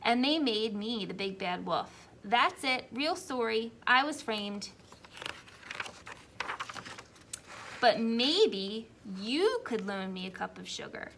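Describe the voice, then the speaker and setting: A woman voicing an aggrieved male storybook wolf who pleads his innocence with dramatic, drawn-out emphasis. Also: a little high, animated, a bit bright, slight background noise.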